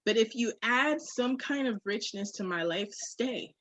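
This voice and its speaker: A woman's voice: on the high side, animated, measured pace, a bit bright, dry recording. A woman talking candidly on a podcast in an emphatic, slangy way, with swearing.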